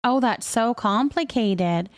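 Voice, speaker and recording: High-voiced woman chatting casually on livestream. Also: expressive, slow pace, no echo. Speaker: livestream host. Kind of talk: casual livestream chat, greeting viewers and reading comments aloud.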